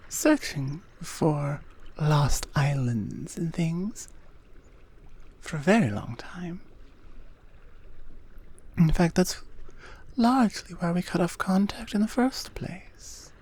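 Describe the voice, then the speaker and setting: An ancient Amazon queen, acted by a woman for an ASMR roleplay, regal and gracious. Her voice is mellow and rather low, with a soft breathy texture. She addresses the listener formally and very slowly, measured but wary. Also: exaggerated voice. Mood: curious, measured. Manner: measured, deliberate, formal, with frequent pauses.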